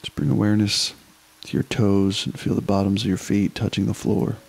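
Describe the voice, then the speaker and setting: A man leading a guided meditation, giving slow, unhurried instructions with long pauses. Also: on the low side, flat delivery, creaky, darkish tone, husky, poor audio.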